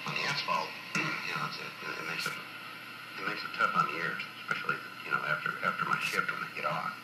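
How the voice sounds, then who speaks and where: A man's voice: very deep voice, fairly quick. A middle-aged man from the United States in a folksy, rambling chat, heard over a CB radio with echo. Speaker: truck driver and radio operator. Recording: echoey, very noisy CB radio transmission.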